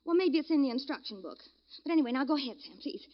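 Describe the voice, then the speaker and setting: An American actress playing a sweetly earnest, excitable secretary in a 1940s radio detective comedy-drama. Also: female voice, sits high, very fast. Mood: eager, earnest. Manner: gushing, naive, excitable. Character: eager, sweetly earnest secretary devoted to her detective boss. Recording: muffled old-time radio recording.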